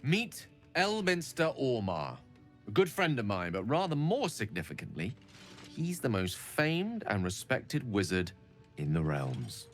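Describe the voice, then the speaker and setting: Younger male wizard, urbane, wry, impatient, animated pitch, music underneath, fantasy video game. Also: unhurried. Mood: surprised, admiring. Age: young adult. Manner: articulate, wry. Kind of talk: video game character acting.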